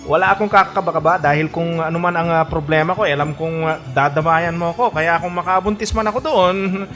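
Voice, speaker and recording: An actor with a Filipino accent playing an easygoing young boyfriend in a radio drama, with music underneath. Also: male voice, on the high side, steady tone, fairly quick, fairly bright tone, close to an everyday voice. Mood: playful, fond. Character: joking, affectionate young man, close friend turned boyfriend.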